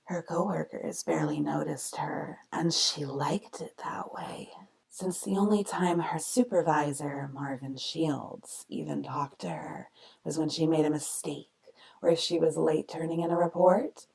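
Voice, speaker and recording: A woman narrates a radio mystery story from a script. Her voice is very low and heavily creaky, sinking into breathy tones as she tells the tale. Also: expressive, unhurried, a little mellow, low-quality recording.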